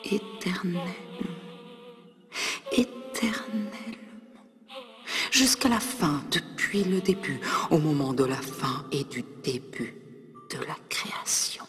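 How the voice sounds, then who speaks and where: A woman performing her own poem as a dramatic recitation, breathy and often half-whispered, expressive, with long pauses between short phrases. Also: fairly low pitch. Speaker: poet performing own work. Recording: long reverb, music underneath. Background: ney flute music.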